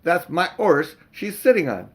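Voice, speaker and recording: A man voicing a kindly working-class London cab driver in a storybook, folksy and coaxing, with dropped sounds and rough slang. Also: high-pitched, sing-song, a little slow, a bit bright, pitched above the performer's own voice, heavily acted. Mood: urgent.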